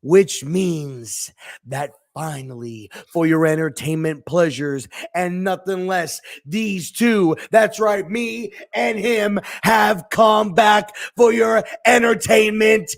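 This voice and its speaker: Male co-host, hoarse and raspy, high gravelly voice, slow deliberate hype-promo delivery. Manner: brash, shouty trash talk. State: hoarse from screaming. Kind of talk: wrestling-promo hype talk.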